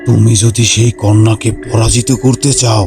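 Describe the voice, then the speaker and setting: A man's voice: fairly low pitch, little pitch movement, normal speed, over music, lightly acted. A man playing a solemn ascetic sage in a fairy tale cartoon, making weighty pronouncements. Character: solemn male ascetic sage granting boons.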